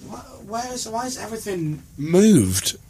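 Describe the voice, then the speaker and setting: Jokey high-voiced man hosting radio. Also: sing-song, measured pace, crowd noise. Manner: jokey and self-mocking. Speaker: radio host. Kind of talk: breakfast radio banter. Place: radio studio. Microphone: studio mic.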